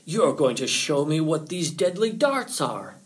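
A man's voice: fairly high pitch, very animated, a little slow, voice pushed up. A man voicing a proud, stubborn male rabbit chief in an animal folktale, insistent and demanding. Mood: defiant. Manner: defiant, insistent, boastful.

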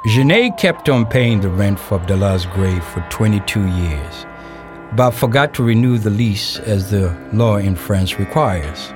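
A man narrates a documentary-style audio play from a script. His voice sits on the low side, and music is clearly heard behind him. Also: lively intonation, unhurried.

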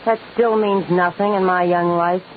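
A woman playing a hard-boiled American female swindler in 1940s radio drama, guarded, halting and defensive. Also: fairly low pitch, steady tone, close to an everyday voice. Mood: wary, curt. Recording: tinny old radio recording, faint hiss.

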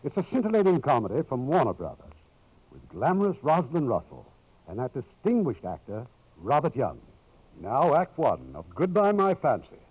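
A man's voice: medium pitch, husky. A man hosting a 1950s radio program, reading a formal, showmanlike scripted introduction. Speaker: producer and host. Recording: muffled old radio broadcast recording.

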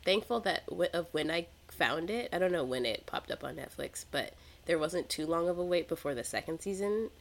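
Low creaky woman chatting away, lots of laughing, voice swoops up and down. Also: lively intonation, a little fast, few pauses. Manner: chatty, laughs often, acts out her own reactions. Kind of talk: casual chat.

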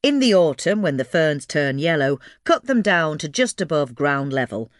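A woman reading a scripted instructional guide in a measured, clearly enunciated way. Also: deep voice, big pitch swings, no echo, clean studio sound. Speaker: reader of a gardening guide.

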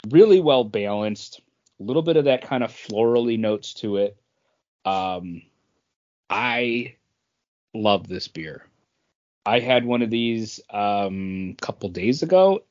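A man's voice: lowish voice, expressive, unhurried, muffled recording. A man chatting casually as a podcast co-host. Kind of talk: casual chat.

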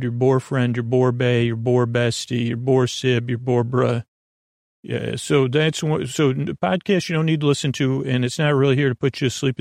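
Gravelly, rambling male bedtime podcast host. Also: medium pitch, a little mellow, no room sound, studio quality. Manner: meandering, halting, often trailing off and restarting; goofy, self-deprecating. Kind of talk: rambling, casual bedtime monologue.

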